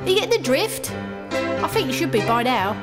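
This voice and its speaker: A woman's voice: high-pitched, big pitch swings, normal speed. A woman voicing a blunt but kindly male elf with a temper, rambling and chatty in an audio storybook. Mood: coaxing. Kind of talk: character acting in an audio storybook. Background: loud music.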